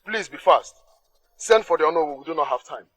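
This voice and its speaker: A man's voice: fairly high pitch, expressive, brisk, fairly bright tone. An actor playing an anxious young prince who pleads and urges the king to hurry. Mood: urgent.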